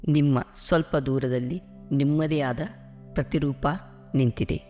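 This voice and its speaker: A man leads a guided meditation over background music. His voice sits at a medium pitch. He speaks soothingly and deliberately, leaving space between phrases. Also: fairly even intonation, warm tone. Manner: slow, soothing, deliberate pauses. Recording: music behind the voice. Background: clear background music.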